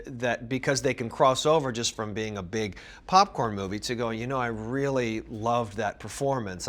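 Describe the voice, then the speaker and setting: A man's voice: medium pitch, fairly bright tone. A man, an actor, speaking earnestly and with animation in an interview, restarting his sentences now and then. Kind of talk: casual junket interview.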